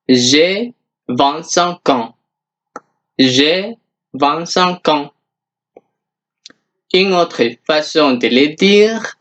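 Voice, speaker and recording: A language teacher giving a scripted beginner lesson in a deliberate, instructional manner, repeating example phrases. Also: medium pitch, fairly bright tone.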